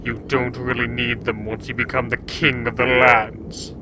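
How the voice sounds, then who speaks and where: A man playing a sadistic, mockingly sweet Frost King in a fantasy audio drama, with a slow theatrical delivery. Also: mid-range voice, little pitch movement, background noise, natural voice. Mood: smug, playful.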